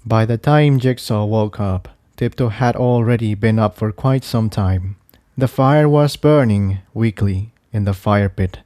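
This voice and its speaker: A man's voice: fairly low pitch, measured pace, dark tone, no room sound, clean studio sound. A man reading a scripted adventure story as narrator, in audiobook style. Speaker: fan fiction narrator.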